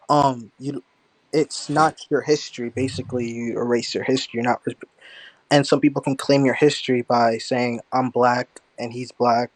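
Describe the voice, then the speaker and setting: A man's voice: mid-range voice, level tone, normal speed, dry recording, poor audio. A man from the United States on a voice chat, putting questions with many hesitations and restarts. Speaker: host asking questions. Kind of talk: online voice-chat debate.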